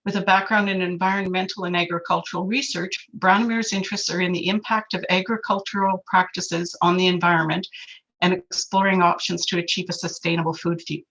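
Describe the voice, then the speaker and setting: A woman's voice: on the low side, flat delivery, brisk, fairly bright tone. A woman hosting a meeting, reading a prepared introduction for the guest speaker over a video call. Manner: reads a prepared bio steadily. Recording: muffled lo-fi call audio.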